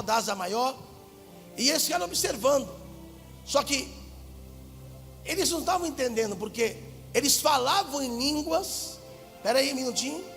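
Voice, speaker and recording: A Brazilian Portuguese-speaking male pastor preaching fervently, swinging between shouted lines and quiet asides in a large church. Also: extremely high pitch, creaky. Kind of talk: preaching a sermon. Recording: hall reverb with faint music.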